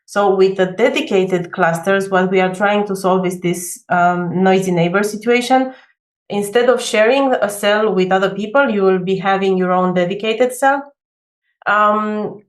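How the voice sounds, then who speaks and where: Hesitant woman, echoey room. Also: medium pitch. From Romania. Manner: hesitant, many fillers. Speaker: backend engineer. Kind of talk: casual video call discussion. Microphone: video call.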